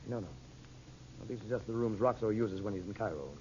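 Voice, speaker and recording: A man playing a steady adult protector who guards a secret, in an earnest, firmly reassuring 1930s radio drama style. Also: on the low side, fast, slight background noise, close to an everyday voice. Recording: muffled old radio recording.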